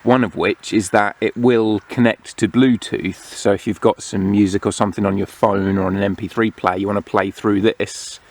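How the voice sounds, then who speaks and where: A man giving a casual, unhurried hands-on product review, explaining each feature as he goes. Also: lowish voice, fairly even intonation. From United Kingdom. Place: outdoors among greenery. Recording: clear outdoor background noise.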